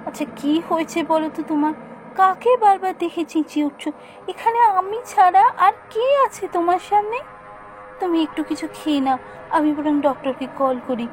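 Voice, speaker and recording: A woman voicing a caring, solicitous wife and mother in a cartoon, expressive. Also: very high voice, a little fast. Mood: concerned. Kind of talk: cartoon voice acting. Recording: music behind the voice.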